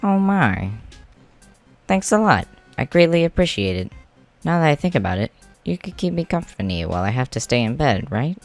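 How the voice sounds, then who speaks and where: A man's voice: on the high side, sing-song, normal speed, creaky. Man acting stubborn, chatty clan boss over music. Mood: grateful. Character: male clan leader, bedridden, stubborn, polite workaholic. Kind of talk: amateur comic dub acting. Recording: music behind the voice.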